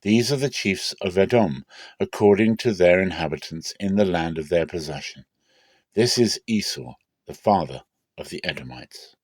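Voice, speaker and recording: A man giving a deliberate, dramatic reading of scripture as a narrator, with measured phrasing. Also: low-pitched, animated, breathy edge, no room sound. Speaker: Bible reader.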